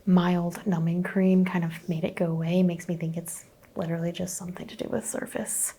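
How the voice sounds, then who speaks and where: Young woman, gentle whispered doctor roleplay, low-energy fry, mellow tone. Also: flat delivery, normal speed, a little hiss or hum. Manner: soft whispering, gentle questions. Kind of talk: ASMR medical exam roleplay.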